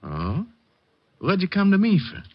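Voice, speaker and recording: A gangster boss in a vintage radio crime play, with a fairly high, very animated voice. He talks in sardonic street slang, part bully and part coward, on a muffled recording. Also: measured pace, dry recording, heavily acted. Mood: sneering. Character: male racketeer boss, sneering, cowardly when hurt. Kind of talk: old-time radio crime drama acting.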